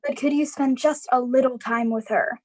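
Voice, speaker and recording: A woman playing a worried, gentle wife and mother in a live radio drama, careful and pleading. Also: high-pitched, steady tone, normal speed. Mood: pleading, placating. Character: worried, gentle mother and wife, anxious about her daughter.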